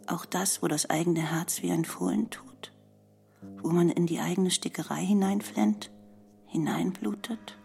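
A woman playing a brooding granddaughter in a literary radio play monologue, slow and creaky, with music behind her. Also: fairly low pitch, fairly even intonation, warm tone, full character voice. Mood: pensive, questioning. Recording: prominent music under the voice.

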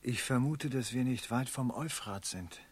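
An actor playing a proud, weary merchant held captive for ransom in a German radio play, speaking tersely with wry restraint. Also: male voice, medium pitch, measured pace, husky. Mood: probing, uneasy.

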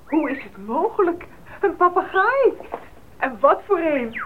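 Excitable high-voiced woman, tinny radio recording. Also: measured pace, full character voice. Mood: amazed, delighted. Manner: exclaiming, animated. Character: excitable, delighted woman among expedition friends. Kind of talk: Dutch radio play acting. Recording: tinny old radio recording, faint noise.

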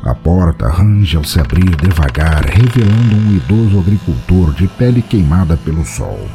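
A man's voice: low voice, big pitch swings, moderate pace, music in the background. A Brazilian man hosting and narrating a podcast from a script, with a wry, theatrical manner.

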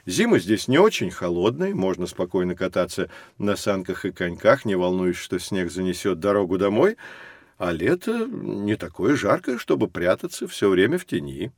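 An actor playing a friendly professor in an educational audio drama, explaining things expressively to children. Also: male voice, low-pitched, sing-song, moderate pace, dry recording, studio quality, exaggerated voice. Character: friendly male professor guiding children through geography.

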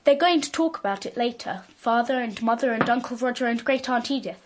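A bossy, precocious little girl played by a woman in a radio play, speaking in a high voice. She is blunt, smug and taunting, as if running her own investigation. A faint noise sits behind the recording. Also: expressive, fairly quick, lightly acted. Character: precocious, bossy young girl playing detective. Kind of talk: radio drama acting.

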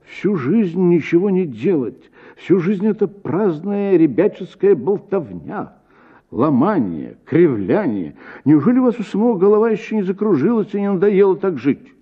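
An actor playing an irritated, blunt gentleman in a radio story, scolding in a curt, exasperated manner. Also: high-pitched, big pitch swings, normal speed, breathy edge, phone-quality sound, pitched above the performer's own voice, full character voice. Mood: angry scolding.

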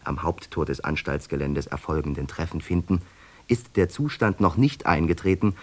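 A man narrating a radio play in a measured, detached way through long winding sentences. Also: low voice.

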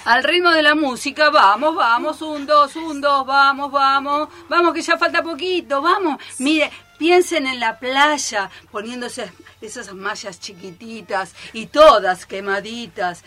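A woman with an Argentine accent playing a bossy, energetic gym trainer in an improvised radio play, chanting counts and commands. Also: high-pitched, unhurried, few pauses. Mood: urging, brisk. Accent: Argentine Spanish.

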